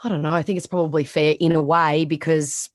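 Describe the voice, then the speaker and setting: A woman in her thirties with a raspy voice and a strong Australian accent, talking bluntly as a guest in a casual interview. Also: low-pitched, fairly quick, no room sound, studio quality. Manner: blunt, animated, swears freely. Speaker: sports reporter, guest.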